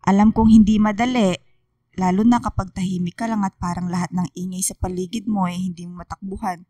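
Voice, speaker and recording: A woman acting a kind, attentive high school teacher in a radio drama, with a Filipino accent and a gentle, motherly way of reassuring. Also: fairly even intonation, a little fast, no room sound, studio quality, distant mic, close to an everyday voice.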